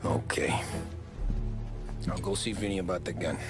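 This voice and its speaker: A man's voice: deep voice, steady tone, moderate pace, over loud music. An actor playing a cool, loyal mob hitman with an American accent, terse and dry, in a crime game. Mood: cool, confident. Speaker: actor in a video game cutscene. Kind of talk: cinematic crime drama acting.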